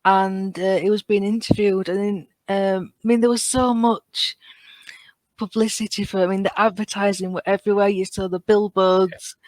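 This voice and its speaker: Female podcast guest, medium pitch, informal conversational delivery, dry sound with no room echo. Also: steady tone, moderate pace. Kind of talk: casual chat.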